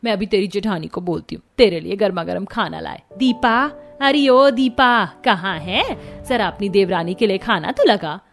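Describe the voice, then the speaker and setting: A woman's voice: medium pitch, animated, moderate pace, creaky, few pauses, faint music behind. A woman acting a fawning older mother-in-law. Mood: scolding. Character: older mother-in-law, doting on the rich daughter-in-law. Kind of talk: Hindi cartoon family story acting.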